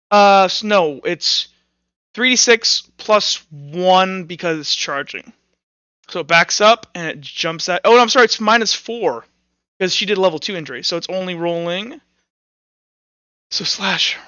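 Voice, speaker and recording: A man with a high, crisp voice acts as game master for a tabletop roleplaying game. He improvises in an informal, halting way, thinking aloud as he goes. The recording is dry and slightly dull. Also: pushed and bright, no room sound.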